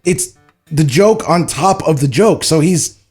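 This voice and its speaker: Lively male co-host chatting over music. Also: on the high side, unhurried, husky. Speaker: podcast co-host. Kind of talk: casual commentary during a table read. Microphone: podcast studio microphone.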